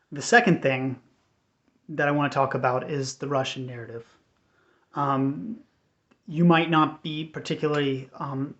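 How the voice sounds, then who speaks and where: An American man giving an unscripted personal update in a measured, earnest, hesitant way. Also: medium pitch. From United States. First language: English. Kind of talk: unscripted personal talk to camera. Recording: dull, lo-fi sound.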